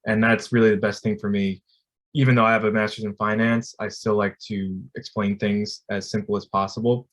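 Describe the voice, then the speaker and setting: Low, flat-voiced young man, casual. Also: brisk. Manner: casual and friendly, with frequent fillers. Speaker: graduate student panelist. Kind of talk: workshop self-introduction.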